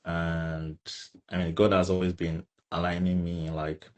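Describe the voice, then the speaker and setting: A man's voice: sits low, level tone, unhurried, a little mellow, lo-fi sound. A young man with a Nigerian accent, chatting casually as an interview guest and repeating words for emphasis. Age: young adult. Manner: emphatic repetition, frequent tags like "right" and "you know". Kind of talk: casual chat.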